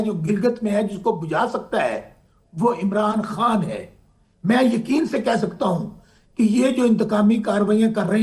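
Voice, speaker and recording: A hoarse man from Pakistan, a political guest arguing insistently in a heated talk-show debate and pressing to be heard. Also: high voice. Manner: insistent, keeps asking to be heard.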